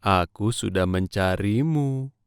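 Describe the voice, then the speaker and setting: A man voicing figures in a children's cartoon story with a gentle storybook delivery. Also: fairly low pitch, slow, clear, resonant tone, no room sound, studio quality. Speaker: voice actor for several animal and human figures.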